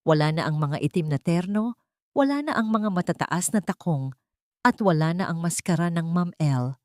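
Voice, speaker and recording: Lowish-voiced female audiobook narrator. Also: lively intonation, normal speed, no echo, clean studio sound. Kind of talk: dramatic scripted narration of a love story.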